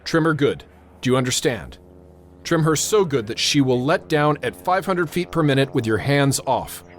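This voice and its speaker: An actor playing a tense, authoritative airfield controller in a radio drama, narrating and giving orders with clipped urgency. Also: male voice, medium pitch, lively intonation, moderate pace, slight background noise, lightly acted. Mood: stern, insistent. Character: tense, commanding male airfield tower controller narrating a crisis.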